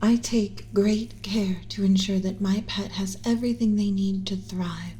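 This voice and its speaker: Soft, mellow alien mother ASMR, acted by a woman. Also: medium pitch, steady tone, natural voice. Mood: calm, clinical. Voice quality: breathy, soft, often whispering. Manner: soothing, deliberate, clinical yet tender. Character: doting alien mother lecturing on her human pet. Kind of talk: ASMR roleplay, partly whispered.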